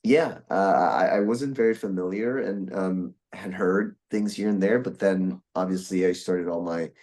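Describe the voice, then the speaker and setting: A young man from Brazil talks casually in a somewhat low voice. He rambles and restarts often, filling gaps as he thinks aloud. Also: unhurried, a little mellow. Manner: rambling, with many restarts and fillers. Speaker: guest voice actor. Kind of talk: casual interview chat.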